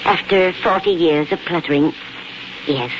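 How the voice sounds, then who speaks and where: A woman's voice: on the low side, a little slow, noisy background, lightly acted. An actress playing a wistful, devoted elderly mother in a 1940s radio drama, speaking in a homely, reflective way. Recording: muffled 1940s radio broadcast with music.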